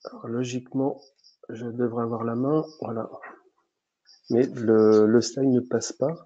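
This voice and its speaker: Male webinar host, hesitant delivery, warm dark voice, lo-fi sound. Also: mid-range voice, level tone, unhurried. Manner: hesitant, many fillers and restarts. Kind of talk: webinar presentation. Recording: dull lo-fi webinar audio.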